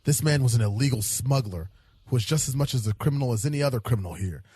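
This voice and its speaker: A man playing a cynical, self-serving hard-boiled police detective in an audio drama, defensive and pragmatic, with a rough voice. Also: medium pitch, animated, fast talker, warm tone. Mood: defensive, frustrated.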